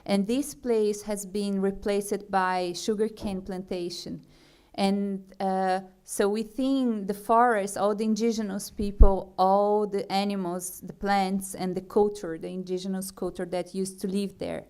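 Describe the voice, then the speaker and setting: A young woman from Brazil, speaking English with a Brazilian accent as a conference speaker. She talks slowly and hesitantly, pausing on fillers and restarting her sentences. Her voice is smooth and clear, at a middle pitch. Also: steady tone, darkish tone. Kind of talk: forum talk.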